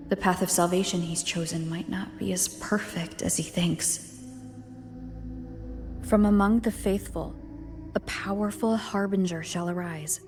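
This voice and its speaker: A woman's voice: on the low side, close to an everyday voice. Woman voicing a thoughtful young adventurer. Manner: thoughtful, reasoning aloud. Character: curious young woman adventurer piecing together clues. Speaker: protagonist investigating ruins. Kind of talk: English game dub dialogue. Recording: roomy game audio with long reverb. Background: soft music.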